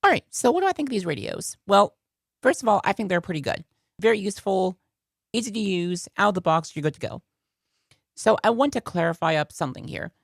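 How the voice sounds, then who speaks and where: A woman's voice: mid-range voice, sing-song, fairly quick, gravelly, no echo, studio quality. A woman hosting a casual product review in a conversational way, often repeating phrases for emphasis. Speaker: video host and product reviewer.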